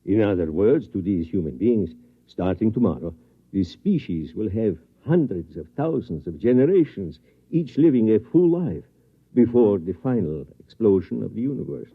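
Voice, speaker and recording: A man acting in an old radio drama as a coolly confident scientist doctor, speaking in a formal, deliberate, courteous way. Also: on the low side, very animated, slightly rough, heavily acted. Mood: persuasive, assured. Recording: old radio broadcast, dull band-limited sound.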